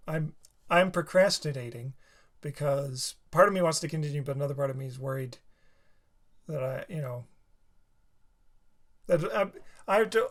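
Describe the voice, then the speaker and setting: Tired man rambling in a reaction video. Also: a little high. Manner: halting, many restarts and fillers. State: tired, slightly sore throat. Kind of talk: casual rambling commentary.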